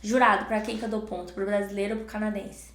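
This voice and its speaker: A young Brazilian woman with a Brazilian Portuguese accent, talking straight to camera in a casual, animated vlog and acting out what other people told her. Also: medium pitch, fast. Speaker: vlogger. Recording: echoey room.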